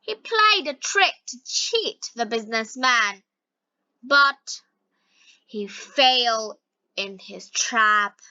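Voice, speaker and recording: Bright, high-voiced woman narrating slowly. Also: lively intonation, dry recording, muffled recording. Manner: deliberate, word by word, with frequent pauses. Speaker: narrator of an English-learning story. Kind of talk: scripted narration for language learners.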